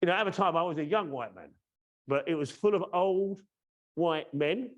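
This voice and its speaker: A man's voice: fairly high pitch, normal speed, highs cut off. A man in his fifties from the UK hosting a training webinar over a video call, chatty and teasing, often checking in with "yeah?". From United Kingdom. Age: 50s. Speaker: course trainer and webinar host. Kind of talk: casual webinar presentation.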